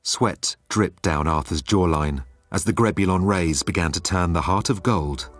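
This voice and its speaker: Very low-voiced male story narrator. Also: normal speed, faint music behind. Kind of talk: scripted comic science-fiction story narration.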